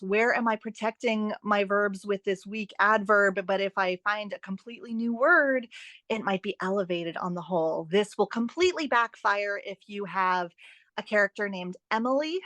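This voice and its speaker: A woman's voice: mid-range voice, a bit bright, no room sound. A woman guest on an interview, an author and editing expert explaining writing tips conversationally, with an emphatic, instructive manner.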